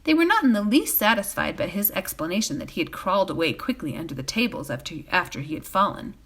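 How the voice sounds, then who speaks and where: A woman reading a bedtime fantasy novel aloud as a volunteer, in an expressive storytelling style. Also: medium pitch, fast talker, husky.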